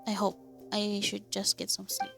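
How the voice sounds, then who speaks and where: A woman's voice: mid-range voice, monotone, moderate pace, creaky. A woman giving casual, informal playthrough commentary as she plays a game, remarking mostly to herself. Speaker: gaming streamer. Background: game music.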